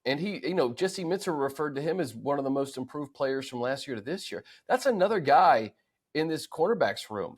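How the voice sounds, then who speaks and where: American male sports podcast host, slightly high voice, casual conversational delivery. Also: slightly rough.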